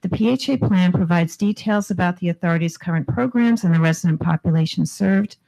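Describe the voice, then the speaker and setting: A woman executive presenting a resolution at a board meeting, losing her voice and clearing her throat as she reads formal text. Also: on the low side, a little fast, warm tone, poor audio.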